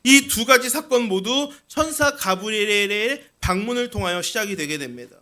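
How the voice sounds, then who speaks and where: A man's voice: sits high, animated, normal speed, pushed and bright. A man preaching a sermon as a pastor, explaining scripture with rhetorical questions and repeated key phrases.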